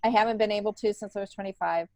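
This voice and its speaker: A woman's voice: mid-range voice, steady tone, racing, darkish tone. A middle-aged woman talking candidly and casually as an interview guest, stressing points by repeating herself. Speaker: podcast guest, stand-up comedian. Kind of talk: casual conversational interview, personal anecdotes.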